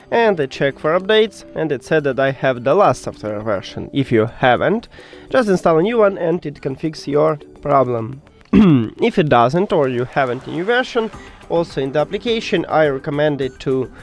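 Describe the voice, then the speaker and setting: A man who speaks English as a second language presents a step-by-step tech tutorial, haltingly searching for words at times. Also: a little high. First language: not English.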